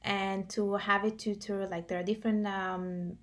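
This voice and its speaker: A woman's voice: mid-range voice, little pitch movement, normal speed. A young woman who grew up in Italy, talking casually to camera as a vlogger, with many fillers and restarts.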